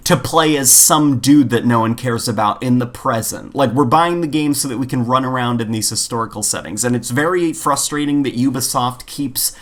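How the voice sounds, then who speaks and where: A man's voice: mid-range voice, normal speed, hardly any pauses, a bit bright. A man co-hosting a casual podcast, chatting in an opinionated, emphatic way and repeating himself as he goes. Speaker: podcast co-host. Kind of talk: casual opinionated podcast chat about games.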